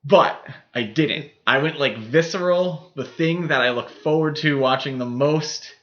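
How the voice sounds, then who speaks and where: A man's voice: fairly high pitch, measured pace, a bit bright, highs cut off. A man co-hosting a podcast, casually discussing films in a rambling, explanatory way. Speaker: podcast co-host.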